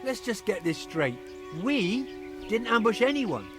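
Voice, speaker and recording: An actor playing a sarcastic, evasive charcoal burner in a video game, defensive and easily rattled. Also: male voice, high-pitched, very animated, a little slow, music in the background. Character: cocky defensive male charcoal burner, adult.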